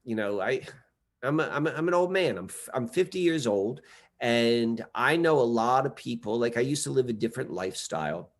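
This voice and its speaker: A man's voice: medium pitch, expressive, fairly quick. A man in his forties, a podcast guest, talking casually in a rambling way with many fillers and restarts. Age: 40s. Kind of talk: casual conversation.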